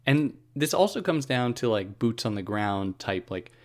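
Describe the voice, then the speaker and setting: A man's voice: mid-range voice, expressive, moderate pace. A man hosting a philosophy podcast, explaining a book in a casual, conversational monologue with wry asides and frequent restarts.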